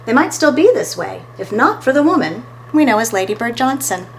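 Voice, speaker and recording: A woman's voice: on the high side, big pitch swings, brisk, slight background noise, rough recording. A woman reading a picture book aloud as a storytime host, expressively and talking to her listeners between pages.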